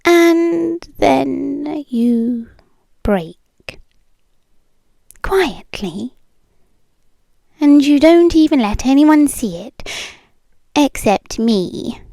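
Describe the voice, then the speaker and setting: A British woman playing a possessive, eerily calm yandere ex-girlfriend in an intimate, partly whispered ASMR roleplay, cooing slowly and condescendingly with soft menace. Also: sits high, gravelly, no room sound, heavily acted. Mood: pitying, possessive. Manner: slow, deliberate, softly menacing, condescending. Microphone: close microphone, dry.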